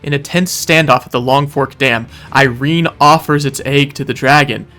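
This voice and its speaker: A man narrates a scripted video recap like a storyteller. His delivery is wry and casual, with dry asides set among more dramatic passages, and his pitch sits in the middle of the male range. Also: normal speed, sharp tone, faint music behind.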